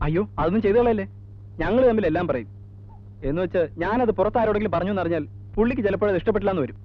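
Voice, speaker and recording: Casting: man playing a quick, high-voiced chatterer, colloquial, dull old film sound. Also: close to an everyday voice. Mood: gossipy. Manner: colloquial, mixing in English words. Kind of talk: 1980s Malayalam film acting.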